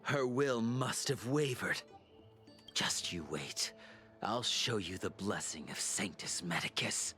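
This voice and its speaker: A vengeful zealot from a fantasy game, hisses threats breathily. The voice is tense and forceful, over faint music. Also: male voice, fairly low pitch, expressive, slow, heavily acted. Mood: vengeful menace. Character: vengeful, menacing zealot of a dark cult. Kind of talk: dramatic fantasy game dialogue.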